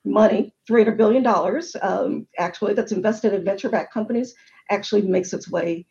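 A woman's voice: medium pitch, fairly quick, creaky, dark tone, dull audio. A middle-aged woman on a webinar panel, earnestly explaining a problem and walking through statistics. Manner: earnest, explanatory, lists facts and figures. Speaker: panel guest on supplier diversity.

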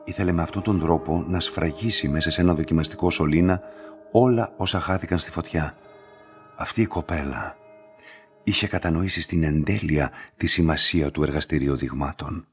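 An actor playing a composed, courteous and enigmatic laboratory director in a radio play, speaking in a measured, formal way. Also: male voice, very deep voice, a bit bright, soft background music. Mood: grave, measured. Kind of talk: scripted radio drama dialogue.

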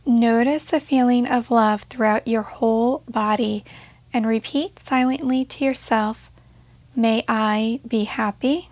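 A woman leading a guided meditation in a gentle, measured way, leaving pauses between short phrases. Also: on the high side, steady tone, no echo.

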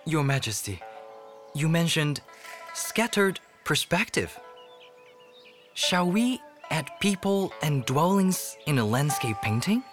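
An actor playing a humble, passionate young court painter in a radio drama, earnest and deferential. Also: male voice, fairly high pitch, big pitch swings, slow pace, fairly bright tone, faint music behind, heavily acted. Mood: humble, eager.

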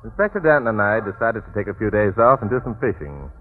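Give this the sound of vintage radio drama: an urbane gentleman sleuth with a low, husky voice. He is composed and formal, with precise phrasing and lively swings of pitch. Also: fast. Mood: measured narration. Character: genteel, composed amateur detective and fly fisherman. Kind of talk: 1940s radio drama acting and narration. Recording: muffled vintage 1940s radio recording.

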